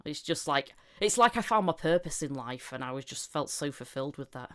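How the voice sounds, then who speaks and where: Low-voiced woman in her thirties, candid chat. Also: lively intonation, brisk. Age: 30s. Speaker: voice actor, podcast guest. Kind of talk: casual chat.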